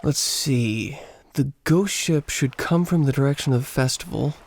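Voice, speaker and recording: An actor playing a lonely, embittered American schoolteacher in a radio play, self-mocking and dramatic, with an American accent. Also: male voice, medium pitch, moderate pace, vocal fry, slight background noise, lightly acted. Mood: wry, resolved.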